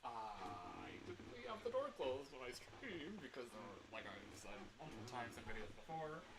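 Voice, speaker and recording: A man with a mid-pitched voice chats casually as a livestream host. He rambles and exclaims, circling back over his own words. The home room recording carries clear background noise. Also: normal speed. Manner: animated, exclaiming, self-interrupting and repeating words. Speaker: livestream host, game developer.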